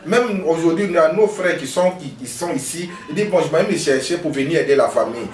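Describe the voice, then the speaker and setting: A man in his fifties speaks earnestly and repeats himself as he talks up his healing work. His voice sits a bit high for a man and sounds set back from the lapel mic. The veranda adds an echo and faint ambience. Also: animated, fast talker, far from the mic. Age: 50s. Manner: earnest, repetitive, self-promoting. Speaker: traditional healer. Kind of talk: casual interview. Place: outdoor veranda by a house wall.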